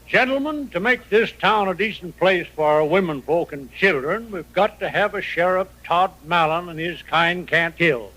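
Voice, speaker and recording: An actor playing a middle-aged, reform-minded western town mayor, speaking with formal authority in an old radio drama. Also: male voice, high-pitched, lively intonation, normal speed, few pauses, bright, forceful tone, full character voice, low-quality recording. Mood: firm, decided. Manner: authoritative, formal meeting chairman. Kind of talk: 1950s radio drama acting.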